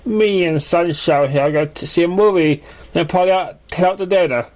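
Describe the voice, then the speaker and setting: A man's voice: sits high, fairly quick, darkish tone, pitched above the performer's own voice, natural voice. A man voicing an easygoing, friendly pony in a storybook reading. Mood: friendly, concerned.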